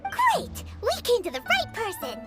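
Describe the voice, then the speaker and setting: A tiny, bubbly fairy companion chatters in a very high, squeaky voice. She speaks of herself in the third person with an eager, sing-song lilt. Game music plays beneath her lines. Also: wildly swooping pitch, a little slow, full character voice. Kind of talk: video game dialogue.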